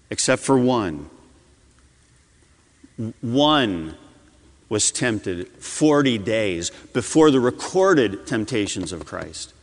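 A man preaching a sermon as a pastor, his delivery swinging between loud urgency and hushed intensity. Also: fairly high pitch. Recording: long hall reverb.

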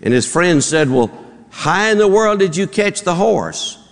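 A man's voice: sits high, animated, measured pace. A man with a Southern accent preaching to his congregation, telling folksy jokes in a drawl and acting out the voices in them. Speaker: pastor. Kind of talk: sermon with folksy joke-telling. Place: church auditorium. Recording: long reverb in a large hall.

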